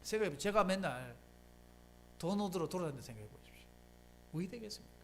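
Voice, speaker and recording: A pastor delivers a Sunday sermon in a church. The pitch rises and falls a great deal, and the delivery keeps throwing rhetorical questions at the congregation and answering them. The tone stays conversational and emphatic throughout. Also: expressive, a little fast, a little hiss or hum. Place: church sanctuary.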